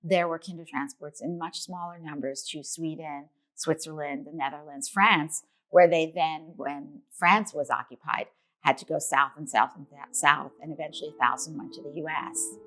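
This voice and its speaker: A woman's voice: deep voice, fairly even intonation, moderate pace, fairly bright tone, poor audio. A woman from New York, a documentary filmmaker, giving earnest, reflective answers in an interview.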